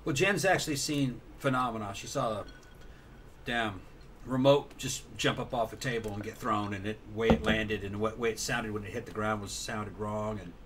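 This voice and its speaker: A man's voice: fairly low pitch, normal speed. A man in casual discussion as a co-host, circling back to repeat and rephrase his points. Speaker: show co-host. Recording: faint background noise.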